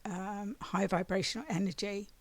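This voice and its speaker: A raspy-voiced woman giving a spiritual monthly oracle card reading, meandering and often clearing her throat. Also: mid-range voice, steady tone, moderate pace, no echo.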